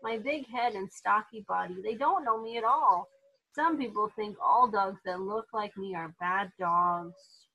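A woman voicing a sad, gentle male pit bull in a children's storybook, speaking in a patient, subdued way. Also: mid-range voice, unhurried, breathy edge, dull audio. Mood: resigned, sad.

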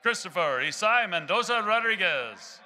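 A young man with a Texan accent announces graduates' names into a podium microphone in a large indoor arena. His voice is bright and high, with a lively rise and fall, and he calls each name loudly and deliberately. His reading stays steady and measured from one name to the next. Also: some chatter behind.

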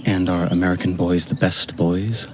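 An actor playing a courteous young American gentleman in a radio drama, polite, a little hesitant and gently teasing. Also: deep voice, level tone, moderate pace, natural voice. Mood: amused, teasing.

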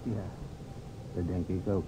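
A man's voice: deep voice, little pitch movement, unhurried, warm tone. An actor playing a short, fat, stolid and easily dismayed policeman in an old radio play. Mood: stolid, musing. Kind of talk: old-time Dutch radio play acting. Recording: dull old radio recording, clear hiss.

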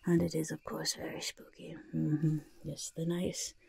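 A woman playing a quirky, friendly goblin master of disguise in a soft-spoken, often whispered ASMR roleplay, fussily repeating words. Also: low voice, flat delivery, a little slow, exaggerated voice. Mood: helpful, gleeful. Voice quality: breathy whisper mixed with creaky soft voice. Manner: refers to self in third person, fussy, repeats words. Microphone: close ASMR microphone. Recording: close-up with audible hiss and clicks.

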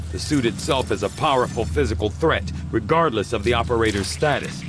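Man doing a calm formal science doctor, measured and precise, game music behind. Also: medium pitch, natural voice. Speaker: science officer. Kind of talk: video game cutscene acting.

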